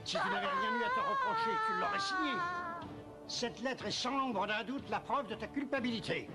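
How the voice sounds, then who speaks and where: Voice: sits high, sing-song, moderate pace, over loud music. An actor voicing a pompous, blustering king who sits as judge, hemming between pronouncements in a cartoon. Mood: stern. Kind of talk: French-dubbed cartoon acting.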